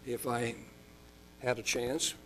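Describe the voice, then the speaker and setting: An older man from Oklahoma preaching a sermon as a pastor, folksy and chatty, slowing down for emphasis. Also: level tone, a bit bright, slight background noise. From Oklahoma, United States. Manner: folksy and chatty, with chuckles and fillers; slows and rises in pitch for emphasis.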